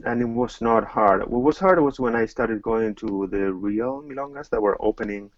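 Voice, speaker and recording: A man's voice: lowish voice, normal speed, no room sound, dull audio. A middle-aged man from Argentina, a tango teacher answering interview questions hesitantly, with many fillers and restarts.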